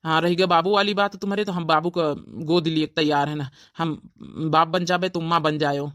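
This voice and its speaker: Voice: medium pitch, fairly even intonation, a little fast, a bit bright, dry recording, studio quality, close to an everyday voice. A performer playing an earnest, devoted young village man with an Awadhi accent in a family cartoon. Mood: pleading, earnest. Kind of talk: family drama cartoon dialogue.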